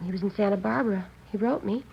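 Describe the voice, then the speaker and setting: A woman's voice: medium pitch, fast talker, gravelly, noisy background, lightly acted. Woman acting an anxious American seamstress, muffled vintage radio. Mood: worried, evasive. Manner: hesitant, pleading, worried. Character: anxious, protective seamstress in her thirties, older sister. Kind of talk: 1950s radio drama acting.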